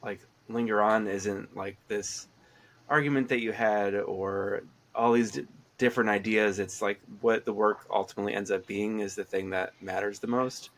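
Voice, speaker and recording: A man's voice: on the low side, fairly even intonation, normal speed. A man, a comic writer, talking reflectively in a casual interview while stuffy with a cold. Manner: reflective, with fillers and laughs. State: stuffy, congested.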